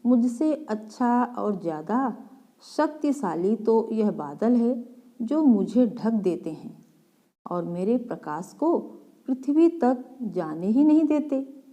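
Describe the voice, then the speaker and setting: A woman's voice: on the high side, expressive, takes it slowly, warm tone. A woman voicing a modest sun that speaks in a formal, plain way in a storybook fable. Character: modest sun deferring to the mightier clouds.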